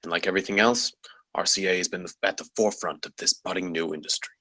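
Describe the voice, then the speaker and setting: A man playing a composed, wizened designer in his sixties at a script table read, husky and assured. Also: sits low, fairly even intonation, fast, sharp tone, no echo. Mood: showman-like. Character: wizened 66-year-old veteran designer, composed and focused.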